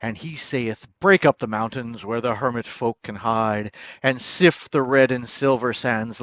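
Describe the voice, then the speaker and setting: A man giving a dramatic poetry recitation in a declamatory manner, moving between hushed line endings and loud proclamations. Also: medium pitch, no echo, dull audio. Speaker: poetry reader.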